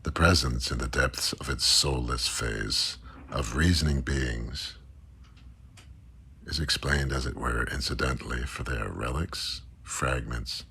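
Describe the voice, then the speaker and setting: A man's voice: extremely low pitch, unhurried, bright, forceful tone, breathy edge. A man giving a scripted reading of a mock-scholarly literary essay. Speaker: reader of a literary text. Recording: faint background noise.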